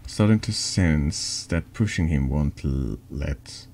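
A deep-voiced man reading horror game dialogue aloud in an ASMR-like style, halting and stumbling over words now and then. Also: expressive, vocal fry, a little mellow. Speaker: streamer reading a text adventure game aloud. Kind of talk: slow narrated read-aloud of horror story dialogue, ASMR-like.

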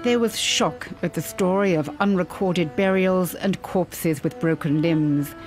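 A woman reporter giving steady, measured documentary narration. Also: on the low side, faint music behind.

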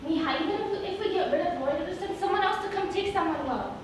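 A woman's voice: extremely high pitch, animated, quick pace. A woman on stage playing a worried, motherly older Mexican woman in a musical. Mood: worried, pleading. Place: small indoor theatre stage, far camera. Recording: echoey stage from far off, audience noise.

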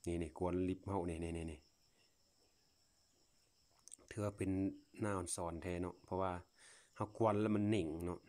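A man's voice: deep voice, darkish tone. A man from Laos, talking casually and unscripted to viewers as he films outdoors on a phone, in a folksy, repetitive, marvelling way. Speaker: vlogger. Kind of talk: casual unscripted commentary to viewers while filming. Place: outdoors, at a grassy patch.

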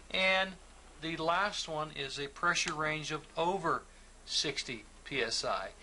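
A male instructor working calmly through a plumbing calculation, explaining each step patiently with small fillers and restarts. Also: medium pitch, a little hiss or hum. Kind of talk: instructional walkthrough.

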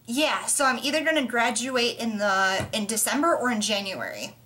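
A woman's voice: fairly high pitch, animated, normal speed, bright, forceful tone, low-quality recording. An American woman in her twenties answering interview questions casually and eagerly as a college student. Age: 20s. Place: small bedroom indoors.

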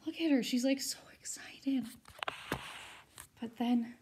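A woman's voice: high voice, slow pace, a little mellow, a little hiss or hum. A woman teacher reading a picture book aloud to children expressively, with chatty, informal asides.

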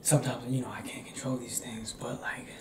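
Young guy doing asmr werewolf boyfriend thing, slow n hushed, halting, echoey room w/ noticeable noise. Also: medium pitch, flat delivery. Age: young adult. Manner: hushed, often whispered, halting, intimate. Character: young male werewolf confiding in his partner, restless, tender. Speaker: ASMR roleplay performer. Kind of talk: ASMR roleplay, improvised intimate acting. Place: small indoor room. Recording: roomy echo, clear background noise.

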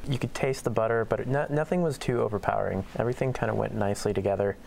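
A young man chatting excitedly about a meal, saying "like" a lot. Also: fairly low pitch, vocal fry, slight background noise, low-quality recording. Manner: fast, excited, uses "like" a lot. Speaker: guest reviewer. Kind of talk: casual dinner-table chat.

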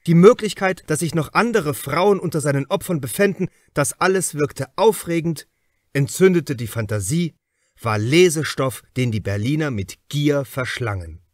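A male audiobook narrator with a very animated, mid-pitched voice in a dry recording. He reads crime fiction deliberately, stressing key words for drama. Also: no echo. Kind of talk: scripted literary narration of a crime novel.

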